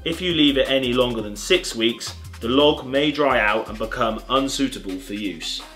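A man's voice: mid-range voice, moderate pace, a bit bright, faint music behind. A young British man presenting an upbeat step-by-step tutorial outdoors in a garden. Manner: upbeat, clear instructional delivery.

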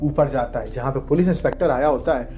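A man's voice: mid-range voice, fast talker, dark tone. A man narrating a movie recap from a script, with music behind him. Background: background music.

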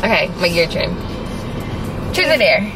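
A woman's voice: lowish voice, sing-song, measured pace, over music. A young American woman chatting casually and teasingly, slangy and sweary, inside a car. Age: young adult. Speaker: vlogger. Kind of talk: casual chat. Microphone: camera in the car, off the mouth. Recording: distant mic in noisy car.

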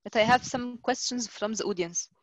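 Higher-voiced woman moderating questions. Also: normal speed, dry recording. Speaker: session moderator. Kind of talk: hosting an audience question session. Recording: dull, lo-fi online call.

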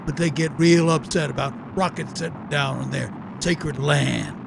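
A man's voice: fairly high pitch, fairly even intonation, gravelly, noisy background. A man playing a folksy, breezy frontier agent in a radio serial, with colloquial phrasing. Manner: folksy, drawn-out colloquial talk. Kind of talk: old-time radio serial acting.

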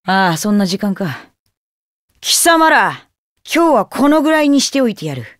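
A ruthless demon boy from an anime game. The voice is fairly high and dryly recorded. He talks with icy contempt and haughty menace. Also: big pitch swings, normal speed, dry recording, studio quality. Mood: dismissive.

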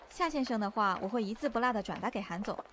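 A woman's voice: fairly high pitch, animated, moderate pace, fairly bright tone. A woman playing a composed, firm secretary in an audio drama, speaking politely and formally. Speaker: voice actor in an amateur audio drama. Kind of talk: acted audio drama dialogue. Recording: faint ambient noise.

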